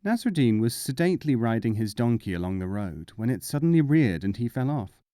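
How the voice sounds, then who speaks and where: A man's voice: mid-range voice, big pitch swings, fairly quick, mellow, no echo, clean studio sound. A man narrating short humorous fables as a scripted audiobook reading.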